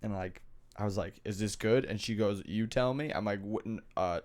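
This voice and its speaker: A man's voice: fairly low pitch, normal speed, darkish tone. A young man hosting a podcast, talking in a loose, slangy, rambling way with a stuffy nose. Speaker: podcast host. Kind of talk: casual chat.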